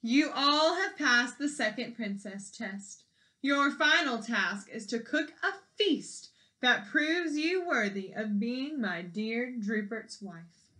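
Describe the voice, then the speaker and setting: Woman acting haughty queen mother, high bright voice, formal, imperious, children's storybook. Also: lively intonation, takes it slowly, heavily acted, low-quality recording.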